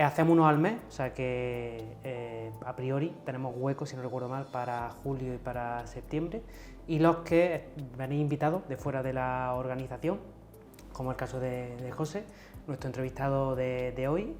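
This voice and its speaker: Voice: medium pitch, a little mellow, slight background noise. An interview host, opening and leading the talk in a chatty, informal way. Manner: chatty, informal, many fillers. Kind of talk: casual interview hosting.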